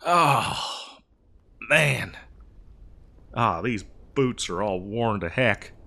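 A man's voice: lowish voice, lively intonation, creaky, pushed and bright, heavily acted. A man playing a dim, good-natured cowboy mail rider in a comedy roleplay, rambling hesitantly in an American cowboy drawl. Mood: easygoing, weary. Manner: rambling, hesitant, folksy, slow-witted. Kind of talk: improvised comedy audio roleplay.

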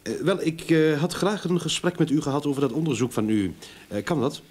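Lively, jovial male private detective. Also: a little high, a little fast, husky. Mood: businesslike. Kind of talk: Dutch-language radio play acting. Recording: faintly noisy old radio drama recording.

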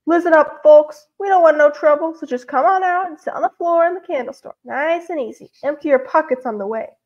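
A woman voicing an irritable male gang leader with a commanding, rough manner in a storybook reading. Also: sits high, lively intonation, fairly quick, highs cut off, pitched above the performer's own voice. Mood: threatening, loud. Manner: commanding, rough casual speech.

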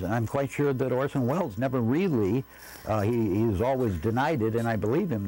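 Bright-less, mellow older man, reflective. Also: medium pitch, husky, poor audio. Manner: measured, careful, qualifying his statements. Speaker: radio drama director being interviewed. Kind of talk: reflective interview answers.

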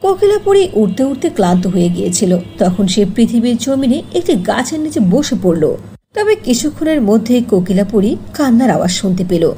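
A woman's voice: fairly high pitch, big pitch swings, fairly quick, warm tone. A woman narrating a scripted fairy tale as a storyteller. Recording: faint noise under voice-over.

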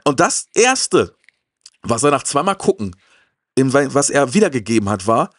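A man's voice: medium pitch, big pitch swings, normal speed, a bit bright, dry recording, studio quality. A middle-aged man chatting casually and enthusiastically as host, talkative and repeating words for emphasis. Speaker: show host. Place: indoor media collection room, seated on sofa. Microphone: handheld microphone close to mouth.

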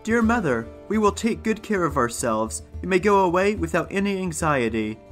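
Man putting on high-pitched, wary little goat kids. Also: sing-song, moderate pace, soft background music. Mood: reassuring.

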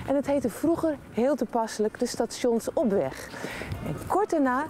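A woman's voice: high voice, lively intonation, moderate pace, over music. A middle-aged woman working as a TV presenter, presenting a regional documentary and doing interviews.

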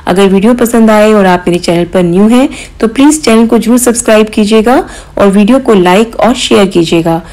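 A woman hosting a cooking channel, calmly explaining each step of a recipe in voice-over, with occasional small hesitations. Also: medium pitch, moderate pace, few pauses, rough recording. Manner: calm step-by-step instruction with mild hesitations.

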